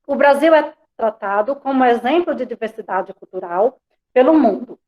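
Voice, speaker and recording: A woman's voice: sits high, darkish tone. A woman teacher with a Bahian accent, lecturing an online class in a conversational way, dropping frequent tag questions, over a video call. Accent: Bahian Portuguese. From Bahia, Brazil.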